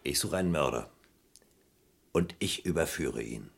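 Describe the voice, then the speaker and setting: An actor playing a suspicious, methodical police detective in a German crime radio play, speaking deliberately and with pointed emphasis. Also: male voice, extremely low pitch, expressive, gravelly, husky, full character voice. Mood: pressing, stern. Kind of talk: German crime radio play acting, mid to late 20th century.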